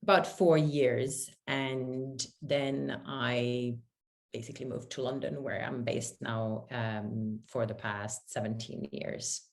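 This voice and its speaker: A woman's voice: rumbling low, fairly even intonation, slow, vocal fry. A woman in her forties from Finland and Paris, telling her personal story candidly as an interview guest. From born in Finland, grew up in Paris, France. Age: 40s. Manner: candid, conversational. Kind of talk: casual, reflective personal storytelling.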